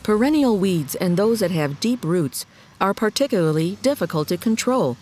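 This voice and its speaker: A woman reading scripted documentary narration in a measured, articulate, explanatory way. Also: mid-range voice, lively intonation, a little mellow.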